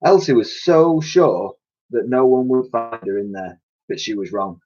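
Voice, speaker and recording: A man reading a children's story aloud as a teacher would, in an animated narrating style. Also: mid-range voice, a little slow, faint music behind. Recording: dull lo-fi recording.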